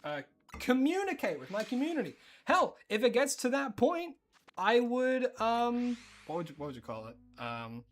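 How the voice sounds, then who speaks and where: Australian streamer, casual gaming chat, rambling and excitable, voice all over the place. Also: normal speed, soft background music. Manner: rambling, excitable, jumps into high squeals of surprise.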